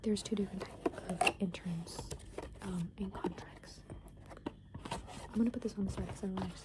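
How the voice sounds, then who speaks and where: A young woman whispering close to the microphone, rambling softly and casually with plenty of "like" and "um" as she talks. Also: medium pitch, steady tone, moderate pace. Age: young adult. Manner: soft unvoiced whisper, rambling with many fillers. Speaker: ASMR creator. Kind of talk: whispered ASMR soft rambling.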